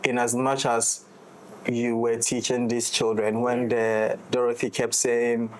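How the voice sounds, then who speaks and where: A man with a Ghanaian accent speaks in a casual roundtable style. His pitch is middling and stays quite even as he explains things reflectively, checking in with his listener as he goes. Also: unhurried, slight background noise, poor audio. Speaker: audio drama director and writer in discussion.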